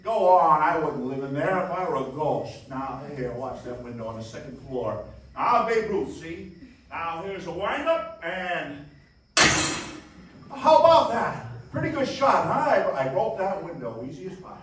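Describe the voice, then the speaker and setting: Eager, awkward young dreamer for a stage radio play; stammering, highish voice, heavily echoing hall. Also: male voice, very animated, measured pace. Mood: eager, boastful. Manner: stammering, hesitant, rambling. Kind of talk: live radio play acting, 1940s style. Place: live stage in a dance hall. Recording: very echoey hall, distant mic, faint noise.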